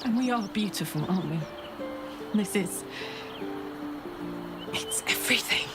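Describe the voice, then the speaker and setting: A woman's voice: mid-range voice, expressive, unhurried, over loud music, lightly acted. A woman acting a brash but tender warrior who is grieving her own coming death in a video game, raw and heartfelt. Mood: tender, sad.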